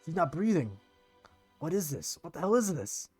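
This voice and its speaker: A man's voice: on the high side, very animated, moderate pace, a little mellow, breathy edge, soft background music, voice pushed up. A man voicing a distraught, panicky young male friend in a visual novel, pleading and exclaiming. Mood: shocked, panicked.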